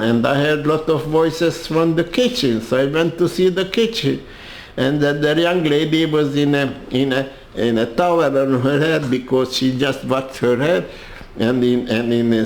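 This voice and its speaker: Slow, halting older Hungarian-accented man. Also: mid-range voice, roomy sound. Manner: halting, with many restarts and repeated words. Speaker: interviewee. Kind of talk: personal storytelling.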